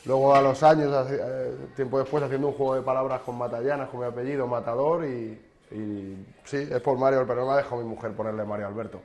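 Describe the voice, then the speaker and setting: Hombre charlatán contando anécdotas. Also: male voice, medium pitch, fairly even intonation, fast, hardly any pauses, darkish tone. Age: 30s. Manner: chatty, anecdotal, rambling with restarts. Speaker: sports journalist guest. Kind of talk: casual interview chat. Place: kitchen.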